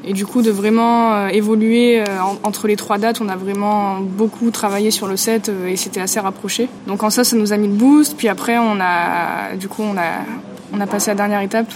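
A woman's voice: medium pitch, steady tone, normal speed, darkish tone, a little hiss or hum. A woman musician chatting in an interview, talking freely with frequent fillers. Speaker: musician in a duo. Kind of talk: casual interview answers.

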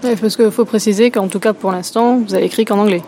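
Mellow-voiced woman interviewer, distant. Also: medium pitch, steady tone, fast, slight background noise. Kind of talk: asking interview questions.

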